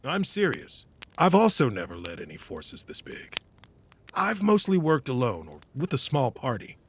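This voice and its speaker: Casting: earnest war-weary hero commander, gravelly, widely expressive delivery, fantasy audio drama. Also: male voice, medium pitch, normal speed, a little hiss or hum. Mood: humble, burdened. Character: seasoned male hero knight commander, earnest and burdened. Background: dramatic background music.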